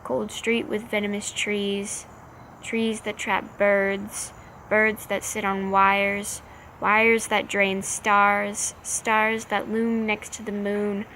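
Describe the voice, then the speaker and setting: A woman recites slam poetry at an unhurried, slow pace. Her mid-pitched voice carries noticeable vocal fry, and she sets out each short phrase with measured care. Faint noise sits behind the recording. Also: completely flat delivery, sharp tone. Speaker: slam poet.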